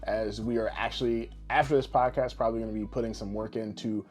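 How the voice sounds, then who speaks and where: A man's voice: on the low side, steady tone, quick pace, a little mellow. A man co-hosting a podcast with casual, jokey, rambling showman patter. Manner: boisterous, rambling, tongue-in-cheek showman patter. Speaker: podcast co-host.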